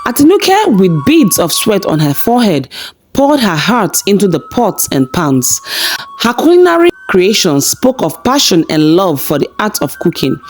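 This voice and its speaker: A woman narrating a scripted folktale as a storyteller. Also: mid-range voice.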